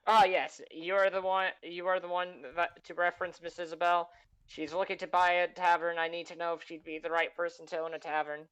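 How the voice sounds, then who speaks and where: A man voicing a huge male goliath estate agent with a high voice, who talks in a polite, businesslike sales manner. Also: flat delivery, fairly quick, fairly bright tone, smooth, lightly acted, low-quality recording.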